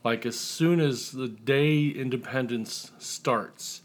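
A man from the United States talks as an author guest in a casual interview. His pitch sits in the middle. He explains things in an easy, conversational manner. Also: slow pace. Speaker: author guest, humor writer and ghostwriter.

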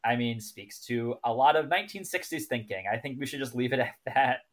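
A man's voice: mid-range voice, expressive, fairly quick. A man co-hosting a podcast, chatting casually and analytically about a TV show. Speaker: podcast co-host.

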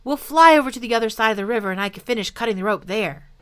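A woman's voice: fairly high pitch, expressive, very fast, fairly bright tone. A woman voicing a clever, cheerful young adventurer who speaks politely and coaxingly. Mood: urgent.

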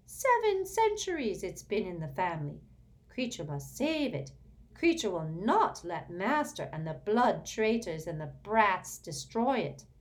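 A woman's voice: mid-range voice, sing-song, measured pace, voice pushed up. A woman reading aloud and voicing a bitter old male house-elf servant who mutters furiously. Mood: muttering resentfully. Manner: furious hissing mutter, bowing servility. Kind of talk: audiobook character voice.

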